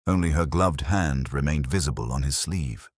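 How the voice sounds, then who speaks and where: Very deep male mystery narrator. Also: moderate pace, husky, no echo, clean studio sound. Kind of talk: scripted narration of a detective mystery story.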